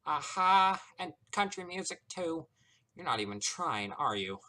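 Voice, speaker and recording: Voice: medium pitch, sing-song, a little slow, pushed and bright, voice pushed up. A man voicing a smug, mischievous male trickster from a story, theatrical and teasing with mocking sweetness. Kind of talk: character voice in narrated story.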